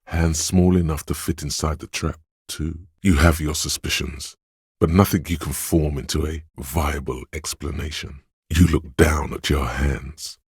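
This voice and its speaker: A man voicing a detective's sly inner sense of touch as scripted game narration, gravelly and husky, measured and insinuating. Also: extremely low pitch, no echo, clean studio sound, heavily acted.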